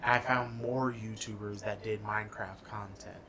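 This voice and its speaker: A man's voice: on the low side, steady tone, moderate pace, crowd noise. A young man co-hosting a casual chat, explaining things thoughtfully with trailing fillers. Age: young adult. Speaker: podcast co-host. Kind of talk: casual chat about games and movies.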